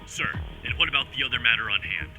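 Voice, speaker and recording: A man's voice: medium pitch, quick pace, over music, close to an everyday voice, poor audio. A man playing a determined soldier reporting from the field in terse, dramatic scripted lines. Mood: focused, wary. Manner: terse radio-report delivery. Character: determined male soldier leading a field squad.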